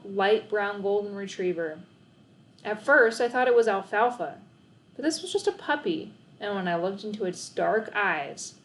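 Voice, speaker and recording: A woman reads a children's story aloud in scripted, expressive narration. Her pitch sits in the middle range for a woman. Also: rough recording.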